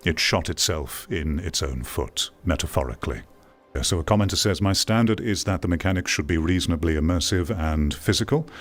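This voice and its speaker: A man's voice: deep voice, lively intonation, brisk. A man giving opinionated video game commentary in an emphatic, probing manner, often posing rhetorical questions and repeating them for effect.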